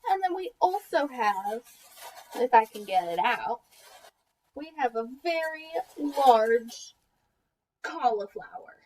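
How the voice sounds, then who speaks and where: A high-voiced woman speaks as a teacher reading a picture book to small children. Her delivery is expressive and deliberate, with questions put to the listeners, and she sounds a little way from the microphone. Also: distant mic, slight background noise, poor audio.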